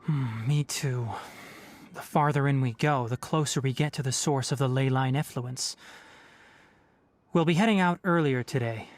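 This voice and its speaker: A male actor playing a thoughtful, principled young forest ranger in a video game, speaking in a measured, articulate way. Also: mid-range voice, dry recording, close to an everyday voice. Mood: calm, instructing. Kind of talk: video game dialogue acting.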